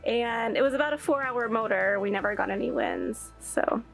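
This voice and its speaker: A woman in her thirties talking casually to an action camera on a sailboat, relaxed and laughing easily. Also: fairly high pitch, normal speed, creaky, faint music behind, rough recording. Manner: relaxed, chatty, laughs often. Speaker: sailing vlogger. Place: aboard a sailboat cabin.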